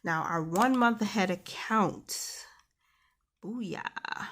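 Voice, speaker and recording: A woman's voice: medium pitch, extremely slow, a bit bright. A woman with a New York accent, chatting to viewers in an upbeat way as she goes through her savings planner at her desk. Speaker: personal finance vlogger. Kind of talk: casual walkthrough of a savings planner. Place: desk at home, hands writing in a planner.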